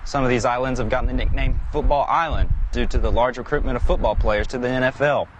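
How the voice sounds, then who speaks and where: A man narrating a scripted news segment as a student reporter with an even read. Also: medium pitch, quick pace, a crowd in the background. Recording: dull audio with outdoor traffic noise.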